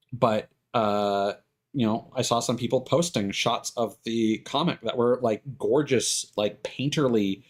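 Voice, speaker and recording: A man streaming live, talking casually and giving his opinions in a halting way, circling back over his words. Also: lowish voice. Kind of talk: casual opinionated rambling on a livestream.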